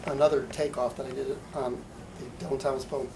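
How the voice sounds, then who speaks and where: A man giving a live poetry reading in a bookstore, with halting, deliberate delivery, in an amateur recording. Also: medium pitch, little pitch movement, creaky, background noise. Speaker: poet. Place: bookstore reading event, indoors.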